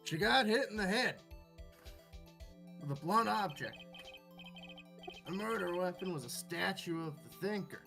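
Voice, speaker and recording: Male amateur as police detective, earnest, respectful, courtroom game, clear background music. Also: high-pitched, expressive, a little slow, pauses often, bright, forceful tone, heavily acted. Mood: earnest, dutiful. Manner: earnest, says sir often.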